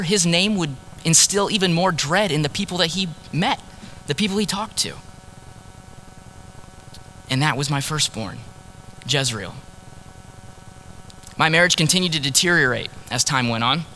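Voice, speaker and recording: Man preaching as a sorrowful old-time prophet, bright clear voice, soft background noise. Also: a little high, a little slow, pauses often, low-quality recording. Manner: earnest, sorrowful storytelling, drops to hushed tones on key words. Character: grieving ancient male prophet, heartbroken husband. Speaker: preacher performing a first-person monologue. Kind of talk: dramatic first-person sermon monologue.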